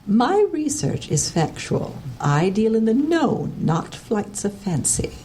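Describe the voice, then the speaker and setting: A woman's voice: mid-range voice, big pitch swings, slow, warm tone, a little hiss or hum. A woman playing a prickly, condescending, no-nonsense researcher in an audio drama, with a haughty, dismissive manner. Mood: guarded, haughty.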